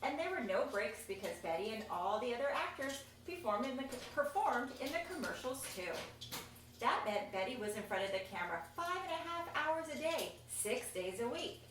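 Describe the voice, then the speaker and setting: Female storybook reader, higher-pitched and expressive, casual asides, recorded at a distance from the mic. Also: moderate pace. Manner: expressive, chatty, pointing out pictures. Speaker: reader of a children's picture book. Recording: echoey room, distant mic, faint noise.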